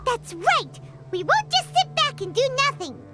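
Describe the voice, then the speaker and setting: Tiny female fairy companion, squeaky, excitable, game character. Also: loud music behind the voice, heavily acted. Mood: indignant. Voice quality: very high, squeaky. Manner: excitable, quick to protest. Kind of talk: video game voice acting.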